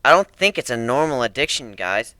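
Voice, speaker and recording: A man's voice: medium pitch, very animated, brisk, pushed and bright, no room sound. A man voicing a brooding, stubborn male griffin captain, grumbling earnestly in a dramatic story reading. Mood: grave.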